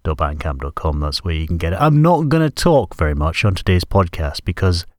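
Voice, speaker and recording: A man's voice: sits low, very animated, brisk, dry recording, studio quality. A man hosting a music podcast, congested from a cold, rambling casually and correcting himself as he goes. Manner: rambling, self-correcting, apologetic. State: stuffy nose from a cold. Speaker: podcast host.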